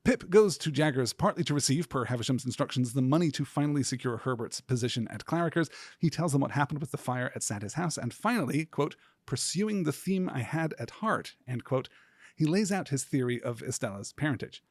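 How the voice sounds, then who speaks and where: A man hosting a podcast as a scripted literary lecture, speaking in a measured, earnest, academic way. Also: mid-range voice, lively intonation, dry recording, studio quality. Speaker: podcast host.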